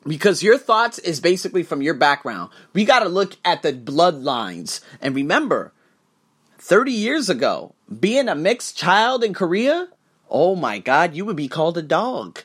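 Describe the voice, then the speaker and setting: A man hosting a podcast, delivering an emphatic, profane monologue to camera with sudden rises in pitch and frequent restarts. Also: very animated, unhurried, fairly bright tone, husky. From United States. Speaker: podcast host.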